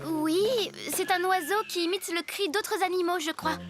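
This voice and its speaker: A woman voicing an eager, sensible young girl in an animated series, youthful and questioning. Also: very high voice, moderate pace. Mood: curious, eager. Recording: music behind the voice.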